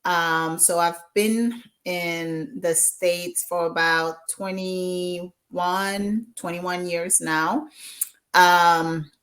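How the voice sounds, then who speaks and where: Slow-talking Haitian woman in her forties. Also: on the low side, fairly even intonation. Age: 40s. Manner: warm, chatty, mixes English with Haitian Creole, many ums. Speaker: guest. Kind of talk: casual interview chat.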